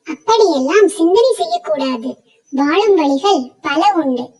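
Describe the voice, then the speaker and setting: A woman's voice: high-pitched, animated, a little slow, darkish tone, distant mic, dull audio. A woman voicing a young duck in a children's moral story, practical and cautioning, with a thin childlike voice. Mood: urging.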